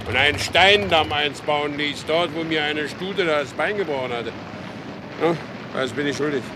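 Actor as proud horse dealer, noisy scene. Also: male voice, fairly high pitch, normal speed, close to an everyday voice. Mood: wry. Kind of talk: German radio play acting.

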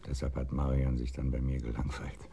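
An actor playing a timid, bitter betrayed husband in a radio crime drama, speaking haltingly and heavily, with hushed trailing phrases. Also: male voice, very deep voice, flat delivery, gravelly, slight background noise. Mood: brooding, resigned. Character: broken, bitter cuckolded husband, timid and brooding.

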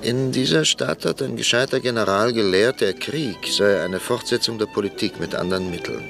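An actor playing a middle-aged Reichstag deputy, blunt and sardonic, in a radio drama. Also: male voice, lowish voice, normal speed, vocal fry, over music. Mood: stern. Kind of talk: 1960s radio drama acting.

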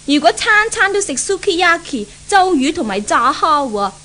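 A woman's voice: very high voice, big pitch swings, moderate pace, pushed and bright, heavily acted. An actress playing a spoiled, willful young woman in a 1970s radio drama, haughty and mocking, with a Hong Kong accent. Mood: scornful, petulant. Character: spoiled, willful, thrill-seeking young woman, doted-on only daughter.

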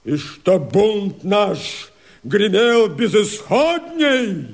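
An actor playing a fervent, urgent rebel Cossack, declaiming verse in an impassioned, drawn-out theatrical manner for a radio drama. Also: male voice, sits high, sing-song, full character voice. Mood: solemn, exultant.

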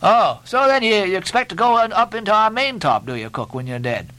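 A man's voice: high-pitched, very animated, brisk, pushed and bright, no room sound, pitched above the performer's own voice, full character voice. A man voicing a bossy, mocking ship's officer in a sea novel, badgering theatrically with nautical commands and mock-solemn questions. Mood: teasing, commanding.

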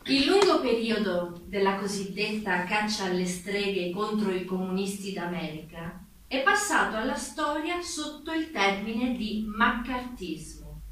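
A woman's voice: medium pitch, a bit bright. A woman playing a sober narrator of historical events, reading scripted stage narration in a formal, declamatory way. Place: theatre stage, recorded from afar. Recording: very echoey distant stage recording, faint noise.

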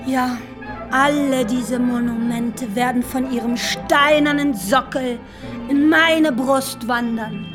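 A woman's voice: high voice, steady tone, takes it slowly. An actress playing a playful, sensual young woman who savors florid, eloquent phrases in a literary radio drama. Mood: excited, rapturous. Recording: studio radio play with music underneath.

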